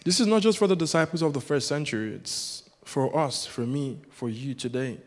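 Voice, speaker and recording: A man's voice: medium pitch, normal speed. A man whose first language is Yoruba, preaching a sermon as a guest preacher in an earnest, rhythmic way that builds with repetition. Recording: long hall reverb.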